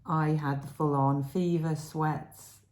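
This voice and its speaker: Croaky middle-aged woman chatting in an echoey room. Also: low-pitched, steady tone, takes it slowly, darkish tone. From England. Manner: chatty, rambling, many fillers. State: croaky voice after illness. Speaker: podcast co-host. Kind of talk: casual knitting chat. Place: home room.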